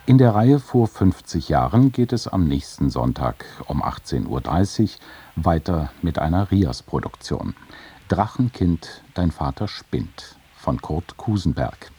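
Male radio presenter, standard German accent, extremely low pitch, gravelly, scripted announcement. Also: mellow.

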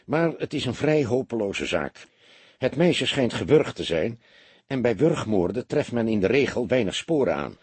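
A man's voice: medium pitch, big pitch swings, normal speed, husky, no room sound. A man voicing a weary police detective who talks in a deferential, understated and hesitant way, as in an audiobook. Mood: reluctant, downcast. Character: world-weary police detective, feigning meek, sheepish humility. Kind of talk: audiobook character voice.

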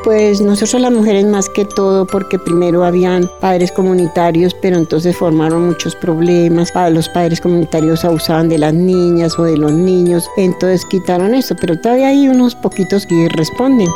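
A woman's voice: lowish voice, fairly even intonation, moderate pace, hardly any pauses, over music. A woman with a Colombian accent answering conversationally, as an interviewee.

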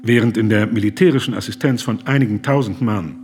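An actor playing an earnest, indignant German humanitarian, speaking formally, deliberately and with moral urgency in a radio play. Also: male voice, fairly low pitch, close to an everyday voice. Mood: firm rebuttal.

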